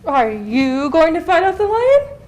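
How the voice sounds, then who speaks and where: A fretful farm hen in a children's puppet show. The voice is high and very animated, full of dramatic scolding. She trips and stutters over her b sounds. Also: unhurried, exaggerated voice. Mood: doomful, scolding.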